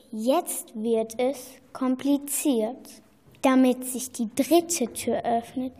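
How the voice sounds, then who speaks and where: A woman playing a sorceress who sets riddles in an amateur radio play, instructing in a deliberate, patient manner. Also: high-pitched, darkish tone. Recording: echoey hall with long reverb.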